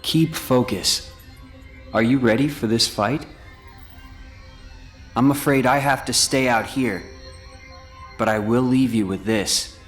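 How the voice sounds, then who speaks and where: An actor playing a brooding, reserved teenage boy in a video game cutscene, speaking in a measured, serious way. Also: mid-range voice, a bit bright, faint music behind. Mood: solemn. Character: brooding, reserved teenage male rival and friend.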